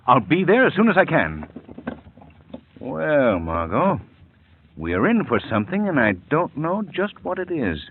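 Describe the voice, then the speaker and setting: An actor playing a suave male gentleman hero who speaks with urgent authority, in 1940s radio drama style, on a tinny old broadcast. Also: on the high side, wildly swooping pitch, a little slow, pauses often, slight background noise, heavily acted.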